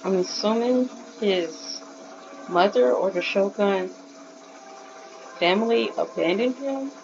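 A muffled recording of a woman commentating on a game, with clear background noise. She talks slowly and casually, hesitating as she thinks aloud. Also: medium pitch. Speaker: gaming video commentator.

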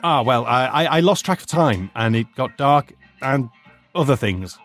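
An actor playing a nervous teacher who fakes a posh British accent, stammering and overly polite. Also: male voice, medium pitch, lively intonation, normal speed, soft background music, lightly acted. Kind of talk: audio drama acting.

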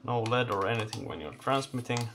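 Male teardown host, gravelly and lowish, off-the-cuff halting commentary, slight background noise. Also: fairly bright tone. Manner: halting, thinking aloud in short phrases. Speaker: host of electronics teardown videos. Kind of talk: unscripted hands-on teardown commentary. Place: indoor electronics workbench.

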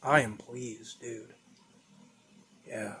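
A young man talks through his reaction at home in loose, rambling bursts. He often trails off into quiet mumbles. His voice sits at an average pitch and is picked up by a desk mic. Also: steady tone, very slow, slight background noise. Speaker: reaction video host. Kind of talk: casual reaction commentary. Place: home room with a flag on the wall.

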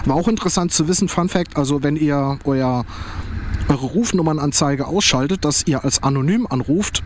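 A man's voice: on the high side, normal speed. A man with a German accent tells a story in a casual, rambling way while riding a motorcycle, with lots of fillers and restarts, recorded through a helmet mic. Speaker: motovlogger. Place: on a motorcycle, outdoors on roads. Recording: outdoor traffic and wind noise. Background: road and vehicle noise.

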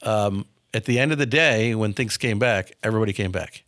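A businessman in his fifties tells blunt stories from his career in a dark studio. His voice sits low and sounds dry and close to the mic. He talks candidly and often restarts phrases by repeating a word. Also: brisk, dry recording. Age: 50s. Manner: frank, anecdotal, repeats words when starting. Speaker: business founder, guest. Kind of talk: candid interview storytelling. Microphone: studio boom mic.

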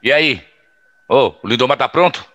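A man's voice: mid-range voice, moderate pace, sharp tone, highs cut off. A man acting in an audio drama as an amused campaign colleague, talking in a casual, ironic, chuckling way.

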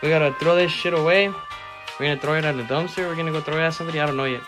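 A man's voice: fairly high pitch, racing, music in the background. A young man in his late teens filming a casual vlog on his phone, joking with friends and saying bro a lot, mixing in some Spanish words. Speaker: vlogger. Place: house, car and restaurant. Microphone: handheld phone at arm's length.

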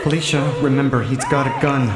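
An actor playing a protective, steady man in a radio horror drama, urgent, earnest and reassuring. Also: mid-range voice, fairly quick, a crowd in the background. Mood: wary, tense. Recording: echoey, noisy radio drama with ambience.

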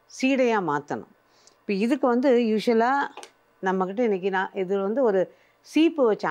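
A woman's voice: medium pitch, a little mellow, dull audio. An older woman presenting a cooking show, patiently explaining each step as she works in a studio kitchen.

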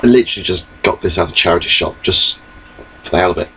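A man's voice: low voice, fast, pushed and bright, a little hiss or hum, poor audio. A young man giving a rambling, chatty webcam update from his small bedroom, recorded on his laptop mic. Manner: rambling, chatty, gestures a lot. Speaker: vlogger.

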